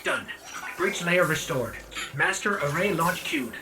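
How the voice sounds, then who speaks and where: An actor playing an anxious, earnest little AI robot companion in a video game, reporting things precisely. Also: male voice, a little high, animated, measured pace, creaky, over music. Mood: focused.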